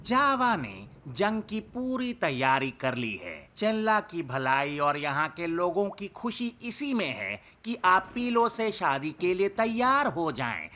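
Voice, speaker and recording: A man's voice: high-pitched, sing-song, slow, few pauses, sharp tone, heavily acted. A man voicing a smug, scheming male court villain in a cartoon dub, with an insinuating, theatrical way of talking. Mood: sly, menacing.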